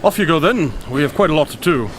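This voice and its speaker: Man acting a wry, pompous gentleman detective. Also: on the high side, animated, quick pace, noisy background, close to an everyday voice. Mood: breezily confident. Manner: dry, pompous, precise wording. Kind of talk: radio drama acting.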